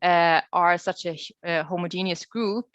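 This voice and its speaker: Low-pitched woman answering questions, dry sound. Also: steady tone, moderate pace, dry recording. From Sweden. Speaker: guest researcher and speaker answering questions. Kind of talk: webinar Q&A, unscripted explanation. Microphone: video call. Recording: dull, lo-fi video call audio.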